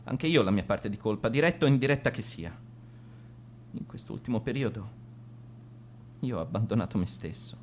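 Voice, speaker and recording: An actor playing a bitter, resigned man losing his lucidity, delivering a halting, formally worded farewell monologue in a radio drama. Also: lowish voice, animated, a little hiss or hum, close to an everyday voice. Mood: weary, confessional.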